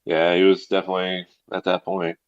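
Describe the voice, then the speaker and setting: A man with a low voice speaks as a guest on a lo-fi call with dulled sound. He is subdued and hesitant, talking casually and personally and often echoing his own short phrases. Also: completely flat delivery, fairly quick. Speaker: guest on an online community call. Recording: dull, lo-fi call audio.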